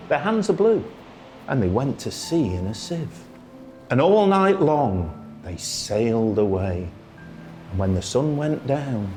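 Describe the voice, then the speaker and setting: A man reciting a children's poem as a storyteller, in a theatrical, singsong way with drawn-out phrasing. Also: medium pitch, a little mellow. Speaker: storyteller, radio presenter. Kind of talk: expressive children's poetry recitation.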